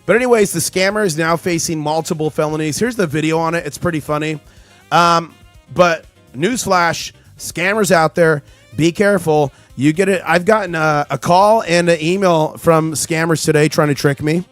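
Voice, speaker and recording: A man hosting a live market stream in a chatty, excitable way, reading viewer comments aloud while his voice recovers from being lost. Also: a little high, normal speed, no room sound, soft background music. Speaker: livestream host.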